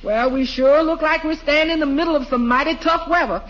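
A woman's voice: high voice, brisk, a bit bright, a little hiss or hum. A woman acting a folksy, exasperated housekeeper and cook in a 1940s radio sitcom. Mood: exasperated, wry. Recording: muffled 1940s radio recording.

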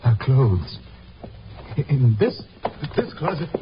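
Tormented, slow-talking mentalist in a trance. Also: male voice, medium pitch, very animated, a little hiss or hum. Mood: haunted, halting. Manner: halting, dazed, trance-like delivery. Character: tormented amnesiac mentalist reliving a lost love, man. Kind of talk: 1950s radio drama acting. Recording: muffled old radio broadcast, music behind.

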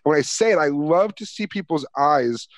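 A man's voice: a little high, expressive, moderate pace, no room sound. Earnest male podcast host, dry sound. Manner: earnest, rambling with restarts. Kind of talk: casual interview chat.

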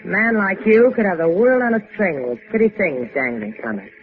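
A woman's voice: medium pitch, big pitch swings, fairly quick, close to an everyday voice. An actress playing a worldly, dry, teasing woman in a 1950s radio drama, with a wry, pointed manner. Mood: cool, probing. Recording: narrow-band old 1950s radio recording, hissy.

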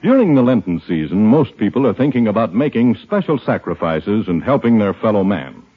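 A man's voice: lowish voice, very animated, fairly quick, husky, soft background music. A man delivering an earnest, persuasive scripted appeal as a 1950s radio announcer. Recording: tinny, narrow-band 1950s radio recording.

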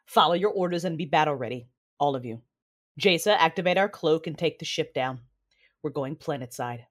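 A woman's voice: deep voice, brisk. An actress playing a vengeful, grieving Sith lord and mother, narrating an audio drama with intense, commanding delivery. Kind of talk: first-person audio drama narration and dialogue. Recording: voice over prominent music bed.

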